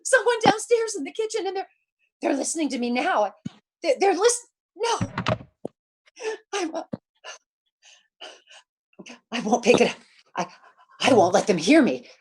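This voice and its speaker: A frantic invalid woman, alone and terrified in a radio thriller. Her very high voice stammers and repeats words in panic, in the manner of old-time radio suspense. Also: sing-song, a little slow, long pauses, darkish tone. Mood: hushed terror.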